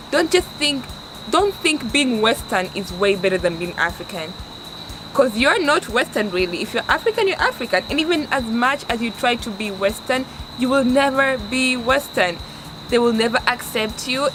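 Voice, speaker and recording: A woman in her twenties from Tanzania, with a Tanzanian accent, giving earnest life advice in a casual vlog and often restarting her sentences. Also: on the high side. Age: 20s. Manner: earnest, restarts often, stresses words for emphasis. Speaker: vlogger. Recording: steady background noise.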